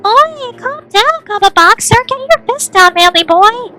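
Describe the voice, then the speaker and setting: A woman's voice: very high-pitched, lively intonation, unhurried, bright, forceful tone, faint music behind, pitched above the performer's own voice, heavily acted. A woman voicing a brash, bossy young student in a drama. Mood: bossy, alarmed.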